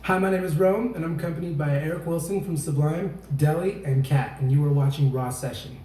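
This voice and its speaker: A man's voice: a little high, a little fast. A man speaking as a musician, introducing himself and a session to the audience. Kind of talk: performer's introduction to camera. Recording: roomy, distant playback through loudspeakers.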